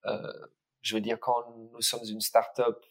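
A man's voice: mid-range voice, monotone, measured pace. A man who grew up in Ireland, a native English speaker speaking with a slight Irish accent, answering interview questions hesitantly with many fillers as a startup cofounder.

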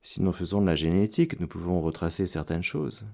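A male priest giving a radio sermon, preacherly, rising to loud exclamations and dropping to hushed prayer. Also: deep voice, lively intonation, gravelly. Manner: slow, deliberate delivery. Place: radio studio.

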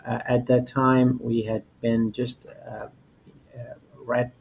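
A man in his forties from Albania, a native Albanian speaker, telling his personal story as an interview guest in a halting, repetitive way. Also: medium pitch, level tone, creaky, darkish tone, poor audio. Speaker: interview guest, corporate lawyer. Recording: noticeable background noise.

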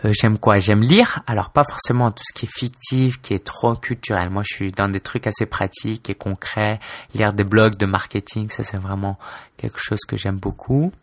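A man's voice: fairly low pitch, steady tone, moderate pace, no room sound. A man in his twenties from France, a blogger talking casually and informally to his listener, hesitant, with many fillers and restarts. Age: 20s. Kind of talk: casual solo talk to camera.

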